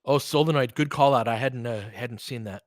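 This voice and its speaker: A man's voice: mid-range voice, normal speed, no echo, studio quality. A man doing informal, opinionated basketball play-by-play, mixing clipped calls of the action with casual chat to his listeners. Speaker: host and play-by-play commentator of a basketball livestream. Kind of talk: casual fan play-by-play commentary mixed with chat.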